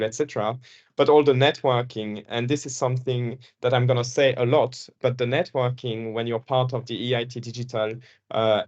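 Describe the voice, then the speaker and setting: A man's voice: medium pitch, darkish tone, muffled recording. A young man from Belgium whose first language is French, giving a casual presentation at an information session as a former student, often repeating and restarting his words.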